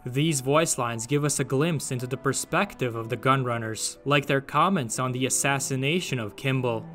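A man's voice: medium pitch, moderate pace, fairly bright tone. A man reading scripted narration as the narrator of a gaming channel. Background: faint music.

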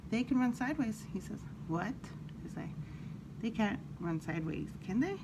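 A woman storyteller reading a children's picture book aloud in an animated, expressive way, with brief asides. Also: medium pitch, moderate pace. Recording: clearly audible background noise.

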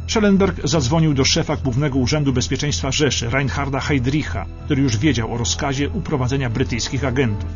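A man narrating a scripted history documentary for radio in a precise, emphatic, dramatic storytelling manner. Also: a little high. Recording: slightly dull radio broadcast, music behind. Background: background music.

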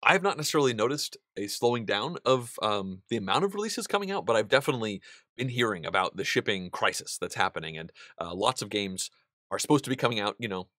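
A man's voice: on the low side, fast, no echo, clean studio sound. Chatty man answering viewer questions. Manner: relaxed and chatty, many ums and restarts, gestures with hands. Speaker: board game channel host. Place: indoor studio room with a wall of game pieces.

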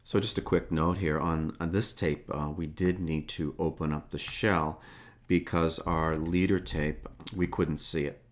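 A man narrating a hands-on repair tutorial in a casual, unscripted way, explaining step by step in a halting manner. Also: rumbling low, fairly even intonation. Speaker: tutorial host.

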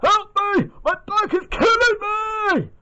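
A man voicing a young excitable teddy bear in a high squeaky puppet voice, wailing dramatically. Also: slow, slight background noise, exaggerated voice. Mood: panicked wailing. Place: bedroom indoors. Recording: lo-fi webcam audio.

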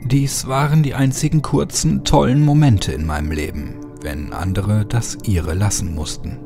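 A man's voice: on the low side, normal speed, creaky. Brooding male horror narrator over music. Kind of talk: dramatic scripted first-person horror narration.

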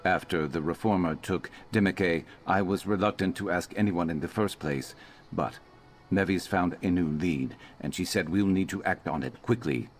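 An actor playing a grim, determined former slave companion in a video game, measured and earnest with dry humour. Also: male voice, low-pitched, soft background music, lightly acted. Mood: grave, resolute.

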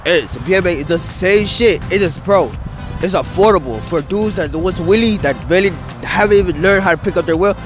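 A man's voice: high voice, fairly quick. Slangy young man, music underneath. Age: young adult. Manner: loose, rambling, slangy, lots of "bro" and "dude". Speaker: interviewee, wheelie rider. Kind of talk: casual interview. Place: open grass field outdoors by a city skyline. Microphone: handheld mic held by interviewer. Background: background music.

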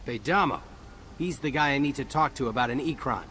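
An actor playing a wisecracking turncoat soldier in a video game, with a casual, wry manner. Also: male voice, mid-range voice, a little fast, pushed and bright, slight background noise, lightly acted. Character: wisecracking human soldier turncoat in alien body. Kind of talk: video game cutscene acting.

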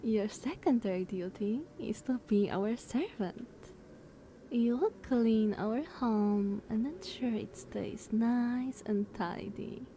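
A woman acts out a silky, dominant vampire sister who treats the listener as a pet. Her voice is fairly high yet mellow and breathy, drawn out very slowly, sweetly condescending. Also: slight background noise. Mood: commanding. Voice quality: soft, breathy, often whispered. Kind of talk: ASMR roleplay acting.

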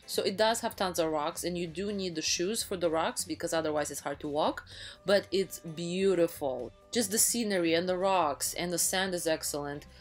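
A woman's voice: on the low side, moderate pace. A woman in her thirties narrating a travel vlog in a casual, enthusiastic voice-over, with frequent fillers and restarts. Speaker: travel vlogger. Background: faint background music.

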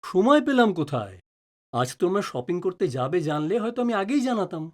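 Man playing a put-upon father, high-pitched, animated. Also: a little fast. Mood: aggrieved, wary. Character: middle-aged husband and father, concerned, put-upon. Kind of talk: audio drama acting.

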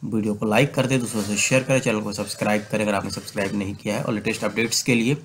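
A man with a fairly low voice narrates a screen-share walkthrough as a channel host. His delivery is steady and explanatory, reading out numbers and names one at a time. A faint hum sits behind the voice-over. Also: rapid-fire. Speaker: channel host giving admission updates. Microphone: voice-over recorded apart from screen capture.

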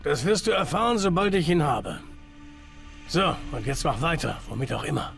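A man playing a cynical, menacing enforcer in a video game, talking in a gruff, curt, sardonic way. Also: a little high, sing-song, moderate pace, a bit bright, full character voice. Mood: cynical, threatening. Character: cynical, menacing male debt-collecting enforcer. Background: music.

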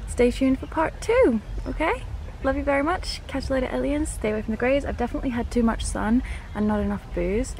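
A woman's voice: sits high, a little fast, creaky, darkish tone, slight background noise, low-quality recording. A woman in her twenties with a British accent chatting casually and playfully to a handheld camera at a festival. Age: 20s. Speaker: vlogger. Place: outdoors at a festival, later inside a tent. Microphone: camera at arm's length.

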